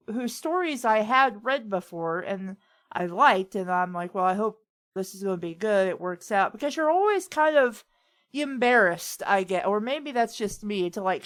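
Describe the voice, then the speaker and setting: Chatty woman sharing casual advice. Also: mid-range voice. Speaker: host of a writing advice talk. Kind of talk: casual chat, giving advice.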